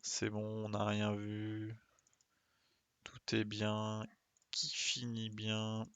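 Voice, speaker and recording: A man's voice: fairly low pitch, completely flat delivery, very slow, fairly bright tone, dry recording. A man doing casual live gameplay commentary, thinking aloud as he plays and often muttering to himself. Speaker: game streamer.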